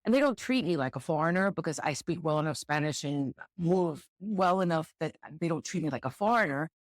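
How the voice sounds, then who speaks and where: A woman's voice: low voice, animated, brisk, no room sound, clean studio sound. A middle-aged woman speaking candidly as an interview guest, thoughtful and repeating words as she searches for them. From United States. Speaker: guest, director of student housing. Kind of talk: relaxed interview conversation.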